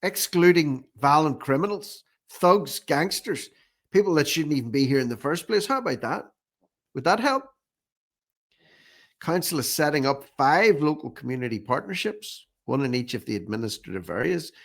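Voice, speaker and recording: A man's voice: a little high, sing-song, normal speed. An Irish man hosting informal political commentary in a sarcastic, scornful manner, often repeating phrases for emphasis. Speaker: online commentator, host.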